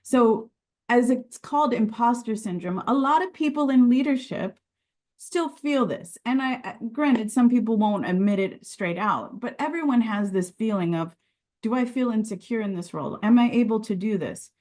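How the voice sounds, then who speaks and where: A woman's voice: fairly high pitch, normal speed, darkish tone. A woman whose first language is Sicilian, speaking earnestly and reflectively as a podcast guest, with frequent restarts. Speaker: podcast guest, regulatory and quality director. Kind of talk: conversational interview.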